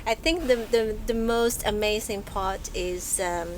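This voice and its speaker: A woman's voice: mid-range voice, a little hiss or hum, rough recording. Thoughtful woman guest. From Hong Kong. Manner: thoughtful, halting, many fillers and restarts. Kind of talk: casual interview chat. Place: book and music shop, live event.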